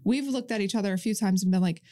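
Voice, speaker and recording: A woman chatting casually as an interview guest, telling things in an animated way with imagined dialogue. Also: medium pitch, fast talker. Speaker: podcast guest, entrepreneur.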